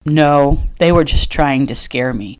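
Stern Western rancher, played by a female narrator: low, terse, commanding, protective. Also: measured pace, a little mellow, clear, resonant tone. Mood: gruff, dismissive. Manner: terse, stern, giving orders.

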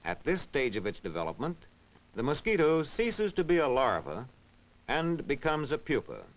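A man reading scripted educational narration in a measured, instructional, clearly enunciated way. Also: medium pitch, huge pitch swings. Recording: dull, band-limited vintage film soundtrack.

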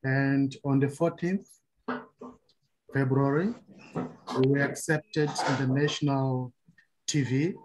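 A man's voice: mid-range voice, steady tone, slow, pauses often, a little mellow, a little hiss or hum, poor audio. A man from Mozambique, a Portuguese native speaker with a Mozambican accent, speaking careful, hesitant English as an epilepsy advocate on a video call. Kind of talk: online meeting talk.

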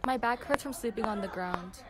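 A woman's voice: medium pitch, a little fast, lightly acted. A young teenage girl playing a casual student survivor in an audio drama. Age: young teen. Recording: noisy amateur recording with clicks.

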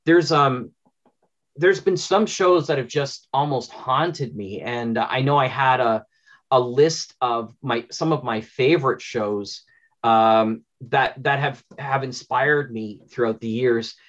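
A man's voice: mid-range voice, takes it slowly, a bit bright. A man, talking casually and effusively in a rambling way as an interview guest. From Canada. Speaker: interview guest, audio drama producer. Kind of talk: enthusiastic casual interview talk. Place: small home room with bookshelves, indoors. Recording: dull, lo-fi video-call audio.

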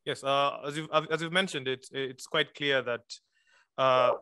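Bright, crisp-voiced male moderator. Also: medium pitch, fairly even intonation, unhurried, dry recording. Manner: hesitant, many fillers. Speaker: interviewer, webinar moderator. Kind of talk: moderating a webinar interview. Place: room at a lectern.

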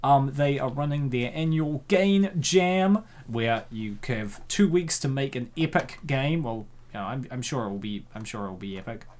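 A man's voice: medium pitch, animated, moderate pace, hardly any pauses, a little mellow. A man hosting casual comic commentary, jokey and given to exaggerated reactions. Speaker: host.

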